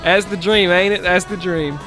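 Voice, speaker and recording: A man playing a folksy trucker in improvised CB roleplay, rambling and halting. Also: high voice, steady tone, pushed and bright, soft background music, poor audio. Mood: folksy, animated. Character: folksy male trucker telling tall road tales.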